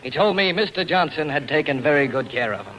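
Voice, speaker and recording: An actor playing a shrewd, blunt and commanding club boss in a 1940s radio drama. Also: male voice, fairly high pitch, very animated, fast, fairly bright tone. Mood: accusing. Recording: noisy vintage 1940s radio recording.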